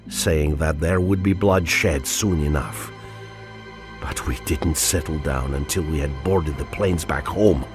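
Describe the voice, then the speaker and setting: An actor playing a grave, patriotic Soviet soldier, solemnly narrating war memories in a video game. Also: male voice, extremely low pitch. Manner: solemn, reflective. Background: music.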